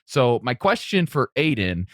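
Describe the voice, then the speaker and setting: A man's voice: mid-range voice, big pitch swings, measured pace, no echo, studio quality. A man hosting a podcast discussion with guests, talking them through questions and commentary. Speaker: podcast host.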